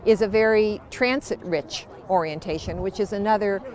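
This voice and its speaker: Casting: woman official, enthusiastic, mid-pitched, outdoor interview. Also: normal speed, a little mellow. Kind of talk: interview soundbite.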